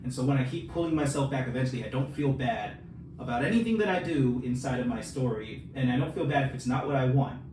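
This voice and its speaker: A man's voice: medium pitch, expressive, fast talker, far from the mic. A man talks casually about writing as a co-host, often poking fun at himself. His mid-range voice comes from a little way off the mic in a roomy space, with noticeable background noise. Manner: joking, self-deprecating. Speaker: podcast co-host and fiction writer.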